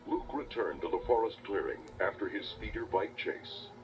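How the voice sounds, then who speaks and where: A man's voice: lowish voice, expressive, moderate pace, dull audio. A man giving dramatic scripted narration for a children's read-along adventure. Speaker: storybook narrator. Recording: old cassette, music behind. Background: music.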